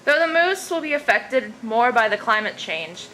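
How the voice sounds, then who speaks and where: Woman narrator, crisp bright tone, noisy recording. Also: on the high side, very animated, normal speed. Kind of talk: scripted informational narration. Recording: noisy amateur recording with background ambience.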